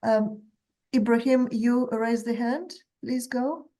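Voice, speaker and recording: A woman's voice: on the high side, slow, dark tone. A woman moderating a panel over a video call, giving brief, hesitant cues to each speaker.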